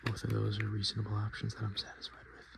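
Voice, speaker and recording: A man hosting softspoken, whispered ASMR in a murmured, unhurried, casual and self-deprecating way. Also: fairly low pitch, monotone, gravelly, background noise. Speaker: ASMR video host.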